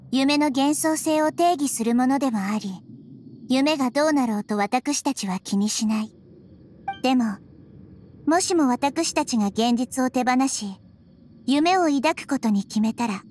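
A woman playing a wise, gentle childlike girl deity in an anime game, explaining things in a measured, thoughtful way. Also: a little high, a little hiss or hum. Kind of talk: Japanese anime game voice acting. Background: background music.

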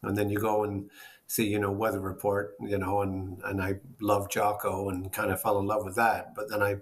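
A man's voice: fairly low pitch, level tone, normal speed. A man in his fifties telling stories casually, often searching for words, on a video call from home. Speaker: guest jazz bassist. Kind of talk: casual storytelling in a panel interview. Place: small room at home, indoors. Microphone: laptop or webcam video call.

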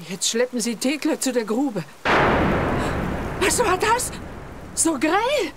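An excitable woman watching an execution in an audio drama. Her voice swoops high as she exclaims over loud rain noise. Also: takes it slowly, exaggerated voice. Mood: astonished. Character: excitable female onlooker at an execution. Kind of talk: German audio drama acting.

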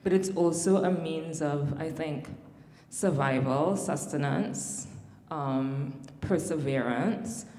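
A woman's voice: deep voice, takes it slowly, mellow. Trinidadian lady academic on a panel, lots of ums and restarts, roomy echo. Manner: thoughtful, many fillers and restarts. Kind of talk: panel discussion. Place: large hall on stage. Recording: echoey hall with long reverb.

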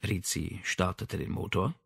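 A man's voice: deep voice, measured pace, vocal fry, fairly bright tone, slightly rough, no room sound, studio quality. A man reading a crime novel as an audiobook narrator in a scripted literary style.

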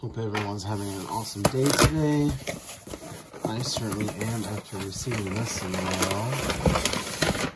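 A man's voice: on the low side, a little slow, pauses often, heavy background noise. A man hosting a casual unboxing vlog, enthusiastic and full of small fillers as he goes through his purchases. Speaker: video host. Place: indoors at a table, off camera.

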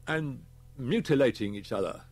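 A man with a British accent voicing a brisk, authoritative chief inspector in an audiobook, measured and official. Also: mid-range voice, animated, darkish tone, husky, pitched above the performer's own voice. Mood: grave. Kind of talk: audiobook character voice.

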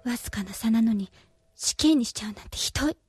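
A curious, outspoken teenage schoolgirl acted out by a woman in a radio drama. Her voice sits high, with a mellow, soft-edged tone. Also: quick pace, soft background music, lightly acted. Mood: indignant.

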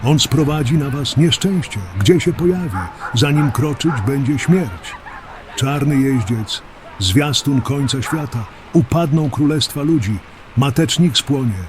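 A man's voice: medium pitch, a little slow, background noise, close to an everyday voice. A man acting a desperate old prophet of doom. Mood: doom-laden warning. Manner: declamatory, prophetic warning. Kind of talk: fantasy audio drama acting.

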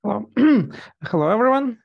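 A man's voice: high-pitched, very animated, normal speed, gravelly, husky, no room sound, muffled recording. A man, giving an academic talk over a video call on a headset mic, hesitant with many fillers and restarts. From Russia. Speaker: conference presenter. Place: small room indoors. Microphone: gaming headset.

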